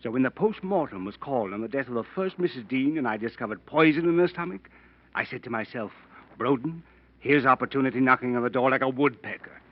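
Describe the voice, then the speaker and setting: A smug, well-spoken male blackmailer played by a radio actor with a middling pitch, needling his victim with mocking courtesy. The sound is a dull, vintage radio drama recording. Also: quick pace, lightly acted. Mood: smug, self-satisfied. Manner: polite, mocking, leisurely threatening. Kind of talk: old-time radio drama acting. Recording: muffled old radio broadcast recording.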